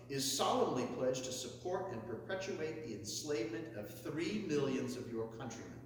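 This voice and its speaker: Male orator with a fairly high voice, emphatic and rising on every list, recorded far off in a big reverberant hall. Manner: emphatic, builds to forceful high peaks on lists. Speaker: reader of a historic speech. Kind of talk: declamatory oration reading. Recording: echoey hall, mic far off, faint hum.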